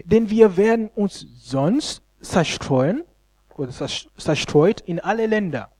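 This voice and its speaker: A man, a Luganda speaker, preaching a sermon in an expressive, halting way and often stumbling over words. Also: high voice. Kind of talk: sermon with scripture reading and commentary.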